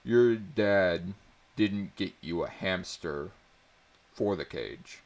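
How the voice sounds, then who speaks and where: A man's voice: low voice, slow. A man voicing an awkward, self-doubting teenage schoolboy with a hesitant manner in a story reading. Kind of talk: dramatic audiobook reading.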